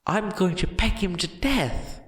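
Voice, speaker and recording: A man's voice: high-pitched, expressive, breathy edge, dry recording, pitched above the performer's own voice, heavily acted. A man voicing a giant, simple-minded monster servant, eager, loyal and devoted, in an audiobook story. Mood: indignant, protective. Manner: slow, exclaiming, devoted.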